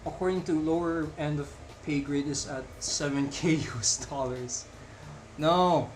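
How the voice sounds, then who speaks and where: A young man from the Philippines talks loosely to his viewers while drawing, stringing thoughts together with fillers. His voice sits a little on the high side, with a faint hiss of background noise under it. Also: fairly even intonation, a little slow. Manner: rambling, filler-heavy, chatty with viewers. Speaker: live-drawing streamer. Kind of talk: casual live-stream chat while drawing.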